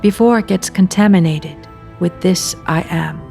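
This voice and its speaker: A woman leading a guided meditation, speaking in measured, deliberate phrases with soft endings and pauses. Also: on the low side, gravelly. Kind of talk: guided meditation, slow spoken readings with long pauses. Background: meditation music at beginning and end.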